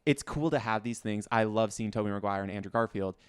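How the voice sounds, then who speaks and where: A man's voice: fairly low pitch, fast, clear, resonant tone, dry recording. Man chatting casually, clean dry sound. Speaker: podcast co-host. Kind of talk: casual conversational debate.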